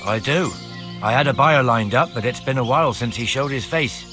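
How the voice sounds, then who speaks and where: A man's voice: medium pitch, a little fast, sharp tone, soft background music, lightly acted. A man playing a plain, dutiful tradesman in a medieval video game. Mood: cautious, obliging. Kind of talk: video game dialogue acting.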